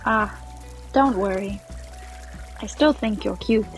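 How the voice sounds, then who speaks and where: A woman playing a bashful, insecure, emotional young adventurer in a fantasy audio drama, speaking in a halting way. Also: mid-range voice, faint music behind. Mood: wistful, teasing.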